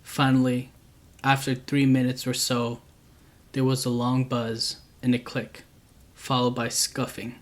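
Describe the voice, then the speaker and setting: A man narrates scary stories with a calm, unhurried read. His pitch sits in an ordinary middle range but barely moves, so the telling stays flat and even. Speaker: storyteller, channel host. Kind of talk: reading true scary stories aloud.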